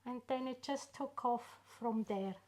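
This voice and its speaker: A middle-aged woman from Switzerland with a Swiss accent, an artist being interviewed about her work, speaking unhurriedly with pauses and fillers. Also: fairly high pitch. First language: not English. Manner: unhurried, warm storytelling with frequent pauses and fillers. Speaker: artist guest. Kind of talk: casual interview.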